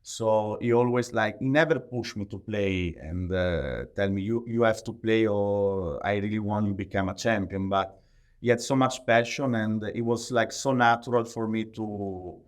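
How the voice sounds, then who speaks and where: Low-voiced Italian man, interview guest. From Italy. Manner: halting non-native English with frequent filler "like". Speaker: podcast guest, professional athlete. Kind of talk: conversational interview answers.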